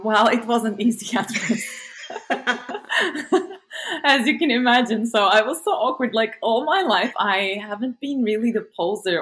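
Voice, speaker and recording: A woman's voice: medium pitch, a bit bright. Woman from Turkey, native Turkish speaker, interview guest, hesitant, lots of ums. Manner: hesitant, many fillers, laughs easily. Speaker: guest, content creator. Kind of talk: casual interview chat.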